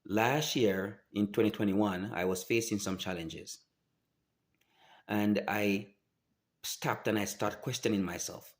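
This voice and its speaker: A man in his forties with a very deep, low voice speaks straight to camera about his own life. He talks earnestly and haltingly, stopping and restarting his sentences often. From Jamaica. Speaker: vlogger. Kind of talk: personal testimony to camera.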